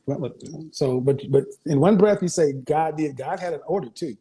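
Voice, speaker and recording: A man's voice: a little high, moderate pace, dark tone, slightly rough. A man hosting a call-in advice show, questioning a caller bluntly and cutting in often. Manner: blunt, interrupting, interrogating. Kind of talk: call-in interview.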